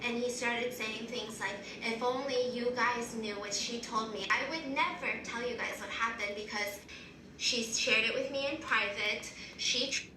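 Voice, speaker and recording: A woman in her twenties with a high-pitched, loud voice, earnestly recounting a personal story. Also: fairly even intonation, unhurried. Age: 20s. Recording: roomy, distant sound with faint noise from a played clip.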